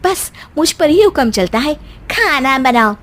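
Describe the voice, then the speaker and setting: A woman plays a feisty young daughter-in-law in an animated family story. The voice is high and swings up and down, indignant and sarcastic, with music underneath. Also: unhurried, heavily acted. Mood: grumbling. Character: feisty, bickering young Indian daughter-in-law, quick to sulk. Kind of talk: animated cartoon story dialogue.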